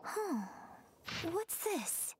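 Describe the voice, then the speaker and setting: A woman's voice: extremely high pitch, sing-song, drawn-out words, heavy background noise, full character voice. An actress playing an earnest, sympathetic young woman in a video game, musing aloud in an articulate, thoughtful way. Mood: curious. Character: bright-minded, earnest, sympathetic young opera singer.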